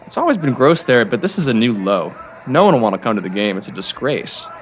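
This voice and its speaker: A disgruntled high school student played by an actor in an audio drama. The voice is animated, with big pitch swings as the student grumbles. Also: fast talker, lightly acted. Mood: disgusted. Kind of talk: scripted audio drama acting.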